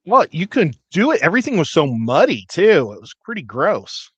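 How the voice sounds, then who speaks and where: A man's voice: a little high, sing-song, moderate pace, husky, no echo. A man in his fifties or older, telling everyday stories in casual chat as a livestream panelist. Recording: dry, dull lo-fi audio.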